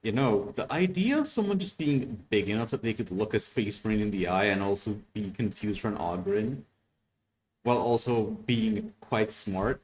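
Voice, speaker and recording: Casting: male reactor with a lowish voice, unscripted and halting, faint background noise. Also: poor audio. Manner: halting, thinking aloud. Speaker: reactor commenting on an audiodrama. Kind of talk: casual off-the-cuff commentary.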